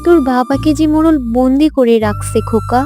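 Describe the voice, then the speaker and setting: A woman's voice: sits high, wildly swooping pitch, fairly quick, music in the background. A woman voicing a distressed, sorrowful village mother in a cartoon, lamenting. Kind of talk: cartoon dialogue.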